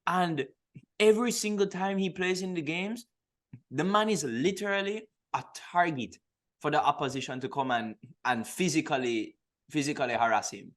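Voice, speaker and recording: A man's voice: a little high, moderate pace. A man co-hosting a casual football podcast, talking in a slangy, emphatic way and repeating words for stress. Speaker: podcast co-host.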